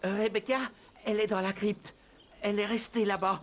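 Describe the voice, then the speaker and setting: A woman voicing an excitable, anxious young boy squire in a cartoon dub. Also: medium pitch, a little fast, close to an everyday voice. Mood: urgent, flustered. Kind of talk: French-language cartoon dubbing.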